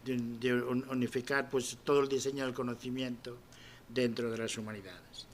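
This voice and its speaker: Man introducing a guest speaker, mid pitch, bit of hiss behind. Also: fairly even intonation, moderate pace. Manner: warm, admiring, with small restarts and fillers. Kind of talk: formal introduction at a university event.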